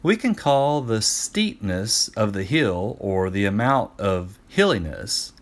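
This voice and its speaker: A man's voice: on the low side, sing-song, takes it slowly, full character voice. Man acting an eager, emphatic ancient Greek teacher. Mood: explaining eagerly.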